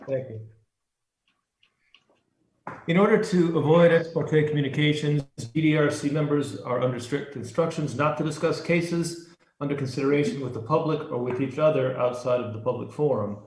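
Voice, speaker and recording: A man's voice: fairly high pitch, moderate pace, darkish tone. An American man chairing a city commission meeting, formally reading out rules and procedures from a script. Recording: roomy, echoing online meeting audio.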